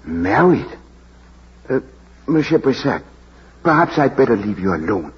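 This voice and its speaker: An actor playing a kindly, courteous priest in an old radio drama, speaking in a polite, paternal way. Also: male voice, medium pitch, sing-song, unhurried, husky, exaggerated voice. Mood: gentle, courteous. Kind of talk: 1940s radio drama acting. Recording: muffled, noisy old radio broadcast.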